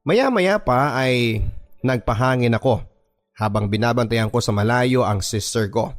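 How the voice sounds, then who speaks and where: A man with a voice of ordinary, mid-range pitch reads a listener's letter for a radio drama. He tells it in first person at an earnest, measured pace, with soft music playing underneath. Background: faint background music.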